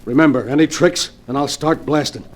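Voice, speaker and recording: A man's voice: fairly high pitch, a little fast, natural voice. An actor playing a ruthless, hunted male crook in a 1940s radio drama, talking in a hard-boiled gangster way. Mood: menacing.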